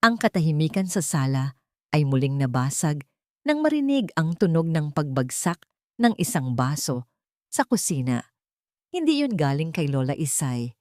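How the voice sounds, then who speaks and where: Woman storyteller for a dramatic audio series; lowish voice, animated delivery, dry sound. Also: moderate pace, dry recording. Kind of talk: scripted dramatic narration.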